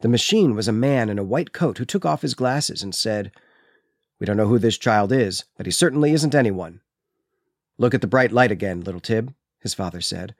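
A man's voice: fairly low pitch, animated, moderate pace, a little mellow, no echo, clean studio sound. A man co-hosting a book podcast, speaking in an articulate, explanatory way as he discusses and reads literature. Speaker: podcast co-host.

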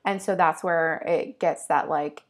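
A young woman from upstate New York co-hosting a relaxed podcast. She talks in a friendly, chatty way, laughs easily and goes into detail when she explains something. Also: low-pitched, a little slow. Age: young adult. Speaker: podcast co-host. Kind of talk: casual chat.

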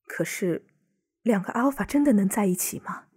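An actress playing a worried parent in a modern audio drama, with a breathy voice. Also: female voice, mid-range voice, lively intonation, normal speed, a little mellow. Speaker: voice actor.

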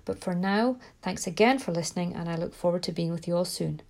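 A Scottish woman from Scotland hosting a parenting podcast, talking to listeners in a gentle, reflective way with frequent small restarts. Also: lowish voice, fairly quick. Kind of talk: solo podcast talk.

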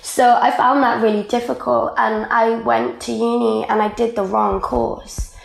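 Chatty young Welsh woman, gravelly. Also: on the high side, flat delivery, a little slow, echoey room, poor audio. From Wales. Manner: chatty, lots of "like" fillers. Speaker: guest. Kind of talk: casual conversational interview.

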